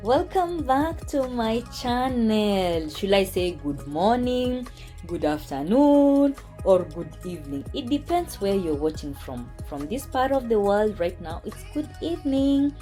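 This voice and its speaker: Slow-paced Kenyan woman vlogger. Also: medium pitch, big pitch swings, dark tone, soft background music. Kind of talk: personal vlog storytelling to camera.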